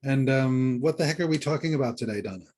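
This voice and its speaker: A man co-hosting a podcast in a conversational style, somewhat halting and repeating phrases for emphasis. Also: medium pitch, a little mellow. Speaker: podcast co-host and book coach.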